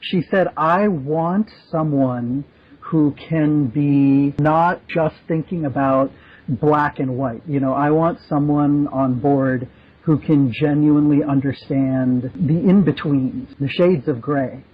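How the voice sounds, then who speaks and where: A man's voice: a little high, slow. A man chatting casually as a member of a podcast panel. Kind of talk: casual chat. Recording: dull lo-fi audio.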